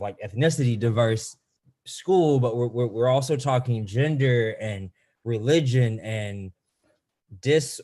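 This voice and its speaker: A man's voice: mid-range voice, a little mellow. A man who teaches special education presents on a video webinar, talking loosely with frequent "um"s and "you know"s.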